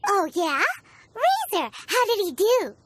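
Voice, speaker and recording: A woman voicing a tiny, cheeky, excitable childlike fairy companion in a video game, with a squeaky voice. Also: takes it slowly, slight background noise, heavily acted. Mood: knowing, curious. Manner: speaks of herself in third person, exclaiming.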